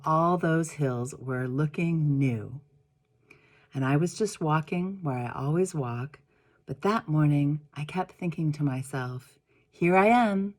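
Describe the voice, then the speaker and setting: A woman reads a storybook aloud in a low voice. She takes it very slowly, with deliberate pauses and expressive phrasing, as a minister might when telling a story to all ages. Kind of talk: storybook read-aloud, first-person narration.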